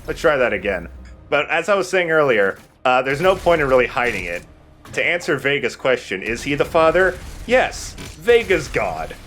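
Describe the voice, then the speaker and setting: A man's voice: medium pitch, moderate pace, soft background music. A man giving casual let's play commentary, explaining things in a conversational way as he plays. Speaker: let's play host, playing the game. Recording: noisy mic with steady background noise.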